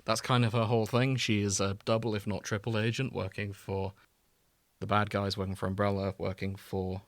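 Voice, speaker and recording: A man's voice: lowish voice, flat delivery, brisk, dry recording. A British man explaining things in a casual, wry, informal podcast chat, sometimes sweary. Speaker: podcast host explaining a video game.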